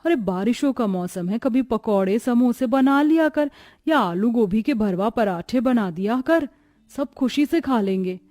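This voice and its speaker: Matronly, scolding older mother-in-law for animated moral story, female performer; dry sound. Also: fairly high pitch, unhurried, no echo. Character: older mother-in-law, exasperated, admonishing, fond of good food.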